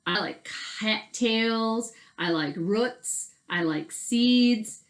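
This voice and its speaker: A woman voicing a bored, fussy young male muskrat from a storybook, with drawn-out exclamations. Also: fairly high pitch. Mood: fussy, questioning.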